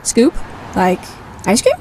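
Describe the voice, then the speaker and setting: A woman's voice: on the high side, wildly swooping pitch, slow pace, mellow, a little hiss or hum, exaggerated voice, rough recording. A woman playing a young alien superheroine in an audio drama, sweet, youthful and a little awkward. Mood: friendly, curious.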